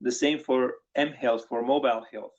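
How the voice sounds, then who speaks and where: A man from Bulgaria, a guest on an online panel, explaining points in a measured way with frequent restarts. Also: mid-range voice, steady tone. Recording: lo-fi online call audio.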